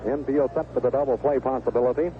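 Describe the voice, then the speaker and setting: A man with a mid-range voice calls baseball play-by-play for radio. He speaks as a live commentator, following each pitch as it comes. The sound is thin and band-limited, with heavy noise from an old seventies broadcast. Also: fairly even intonation, fast. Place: stadium broadcast booth. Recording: narrow, noisy 1970s AM radio broadcast.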